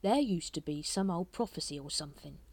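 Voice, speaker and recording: A woman's voice: low-pitched, expressive, darkish tone, breathy edge, no echo, heavily acted. A woman voicing a dim, earnest cult brother. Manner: slow, earnest, colloquial.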